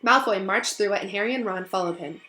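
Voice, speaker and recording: A woman teacher speaking to children in an encouraging, affectionate way, in the expressive style of a storybook read-aloud. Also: medium pitch, fast talker, low-quality recording. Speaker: teacher reading aloud to pupils.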